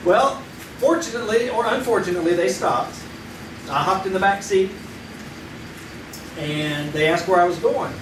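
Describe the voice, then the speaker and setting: A grown man, played by an actor tells an old childhood story in a folksy, easy way. His voice sits fairly high. He sounds distant in a roomy hall with steady audience noise. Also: moderate pace, long pauses, natural voice. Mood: nostalgic. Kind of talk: live radio play on stage. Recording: echoey stage recording from far off, with audience noise.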